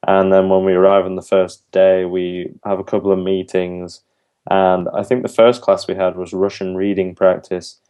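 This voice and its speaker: Low, flat-voiced young man. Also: smooth. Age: young adult. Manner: thoughtful, earnest, with frequent ums and restarts. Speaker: interviewee, university language student. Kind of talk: casual interview answers.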